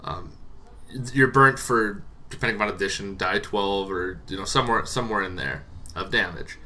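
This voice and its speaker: A man hosting a tabletop roleplaying show, explaining casually and off the cuff in a rambling, halting way that repeats words. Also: on the low side, bright, forceful tone. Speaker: host of a tabletop roleplaying monster lore show. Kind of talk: casual unscripted explainer to camera.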